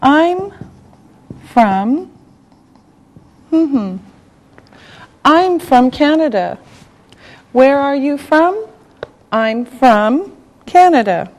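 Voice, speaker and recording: Woman, English teacher, slightly high voice, very slow and deliberate delivery, patient and encouraging. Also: animated, darkish tone. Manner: very slow and deliberate, one word at a time, with repetition. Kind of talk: scripted language lesson for beginners.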